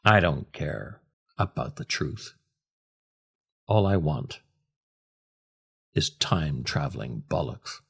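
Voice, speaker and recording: An older man hosting a podcast, reading scripted comic stories and verse in a theatrical, deliberate way with dramatic pauses. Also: a bass voice, big pitch swings, creaky, breathy edge. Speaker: podcast host, writer and actor.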